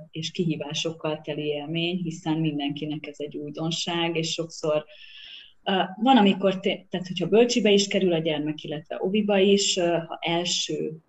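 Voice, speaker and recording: Earnest low-voiced woman psychologist. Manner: earnest, emphatic, appealing to listeners. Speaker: child psychologist guest. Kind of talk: earnest podcast conversation.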